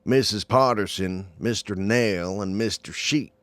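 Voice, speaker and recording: A man performing as a matter-of-fact narrator of an audio drama, reading in a measured way. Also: fairly low pitch, a bit bright, no room sound. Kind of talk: scripted narration.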